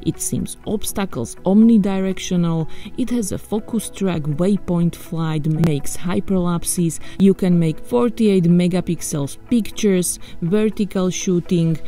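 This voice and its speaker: A woman's voice: deep voice, warm tone. A woman in her thirties from Slovakia with a Slovak accent, narrating a casual tech unboxing with playful, self-mocking asides. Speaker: tech vlogger.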